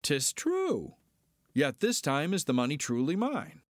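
An actor playing a cocky, roguish smuggler pilot, declaiming archaic verse in an amateur Shakespearean reading. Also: male voice, on the high side, huge pitch swings, unhurried, no room sound, clean studio sound. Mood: cocky, wry.

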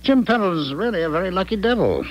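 An actor playing a smug, callous married philanderer in a 1970s radio drama, patronizing and cajoling. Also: male voice, high-pitched, lively intonation, fast talker, lo-fi sound. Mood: smug, condescending. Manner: patronizing, cajoling, hesitant when cornered.

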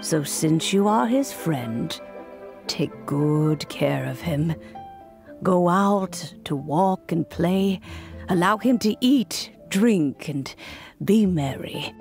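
An actress voicing a wise elderly woman in a video game, speaking in a formal, measured way. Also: fairly low pitch, animated, a little mellow, faint music behind. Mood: consoling. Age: older. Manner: slow, stately, formal speech.

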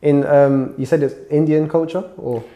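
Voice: medium pitch, fairly even intonation, normal speed, muffled recording. An interviewer asking casual questions, often laughing and affirming with yeah.

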